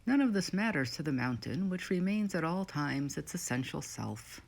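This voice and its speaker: Woman with a low voice doing a guided meditation, soothing, short phrases with pauses. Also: very animated, gravelly. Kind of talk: guided meditation, scripted narration.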